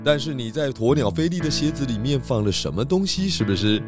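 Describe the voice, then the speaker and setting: A man playing a calm, shrewd, kindly pig detective in a children's audio drama, measured and gently probing. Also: mid-range voice, over music, natural voice.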